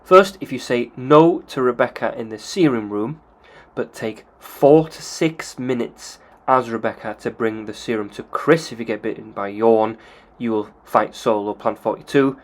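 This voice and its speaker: A man's voice: mid-range voice, normal speed. Guy going through game cutscene triggers, plain methodical talk, mid voice. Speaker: video game commentator. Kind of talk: explaining a game walkthrough.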